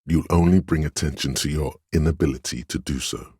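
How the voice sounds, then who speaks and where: A profoundly low, clean bass plays the domineering voice of authority inside a cop's head in a video game. He is imperious and measured, scolding and goading like a superior giving orders. The sound is dry and close. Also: darkish tone, husky, no echo, exaggerated voice. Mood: stern, scolding. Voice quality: very deep, resonant bass. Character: commanding, domineering inner voice of authority in a cop's mind. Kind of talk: dramatic game narration, inner-voice lines.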